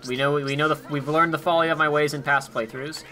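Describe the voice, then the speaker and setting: A man's voice: on the high side, fairly even intonation, fast talker, bright, forceful tone. A man co-hosting a game playthrough, chatting casually and rambling with restarts. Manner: rambling, self-correcting. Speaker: let's play co-host. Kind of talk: casual chat over gameplay. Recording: faint game music behind.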